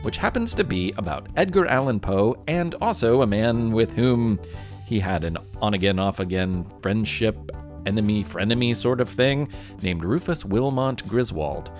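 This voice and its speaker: Low-pitched, lively man narrating a podcast. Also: normal speed, faint music behind. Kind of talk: scripted narration for a children's history podcast.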